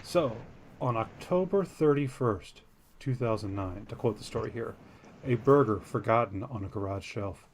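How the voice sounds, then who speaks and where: A man reads a story out loud for his podcast partner, stopping often for joking, easily sidetracked asides. His voice sits at an ordinary middle pitch. A faint hum of room ambience runs underneath. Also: expressive, moderate pace. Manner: jokey, easily sidetracked, self-deprecating. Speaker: podcast co-host. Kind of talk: reading a news story aloud with casual asides.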